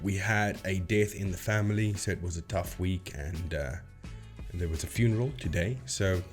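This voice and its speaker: A man's voice: low voice, normal speed, faint music behind. A man hosting a video channel, explaining things informally and often stopping to rephrase himself. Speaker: host of a paranormal debunking video channel.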